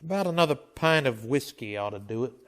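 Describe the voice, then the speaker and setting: A man's voice: medium pitch, expressive, a little fast, close to an everyday voice. A man playing a terse, weary Old West town marshal in a western radio drama, with a guarded, laconic way of talking. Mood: dry, steady.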